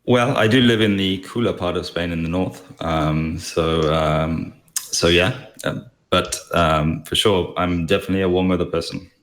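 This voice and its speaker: A man speaks as an interview guest. His voice is extremely low, and he answers haltingly, pausing often as he goes. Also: a bit bright. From Zimbabwe. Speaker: podcast guest, software developer.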